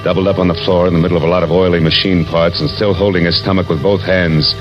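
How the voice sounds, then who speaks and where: A man's voice: low-pitched, little pitch movement, fast talker, lightly acted. A man playing a tough, wisecracking American private detective in a hardboiled 1940s radio drama, clipped and sardonic. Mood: wry, grim. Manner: clipped, hard-boiled, sardonic. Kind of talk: 1940s radio acting, hardboiled first-person narration. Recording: dull vintage radio recording with music.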